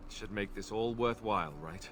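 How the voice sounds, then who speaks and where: An actor playing an earnest young male warrior in a video game, speaking in a somber, quietly grieving way. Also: on the low side, normal speed, slight background noise, lightly acted. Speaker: game companion character.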